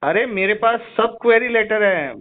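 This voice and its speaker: Insistent higher-pitched man on phone. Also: a little slow. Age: middle-aged. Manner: insistent, challenging, repeats questions. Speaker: caller, insurance customer and patient. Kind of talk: argumentative phone complaint. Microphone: phone call. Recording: narrowband phone call recording.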